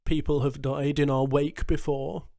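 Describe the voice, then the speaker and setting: A man voicing a calm, firm, dismissive male pirate lieutenant. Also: fairly high pitch, a little slow, creaky, no echo, studio quality. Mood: cold.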